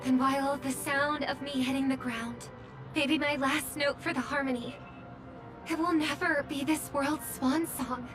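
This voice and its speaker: A woman's voice: high voice, steady tone, measured pace, over music. A woman playing an idealistic young singer in a video game, delivering poetic lines with earnest, oratorical devotion. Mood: solemn, earnest. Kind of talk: dramatic game voice acting.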